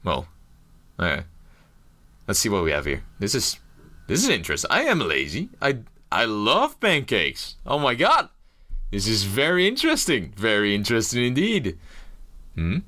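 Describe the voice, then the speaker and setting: Rambling gaming commentator. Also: male voice, fairly low pitch, wildly swooping pitch, normal speed, pauses often, sharp tone. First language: Dutch. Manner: rambling, jokey, swears casually; nervous first-video energy. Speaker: gaming YouTuber. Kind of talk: casual game commentary.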